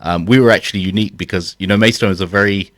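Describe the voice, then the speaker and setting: A man, a guest on a radio interview, modest and talking quickly with many restarts. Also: deep voice, steady tone, no echo. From England. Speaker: guest, film director. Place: radio studio.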